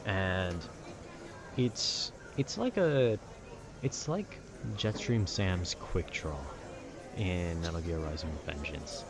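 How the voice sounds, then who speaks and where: Low-pitched laid-back man rambling over noisy ambience. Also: expressive, slow. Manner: laid-back, meandering, slangy. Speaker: audio roleplay creator. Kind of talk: casual rambling chat about video games.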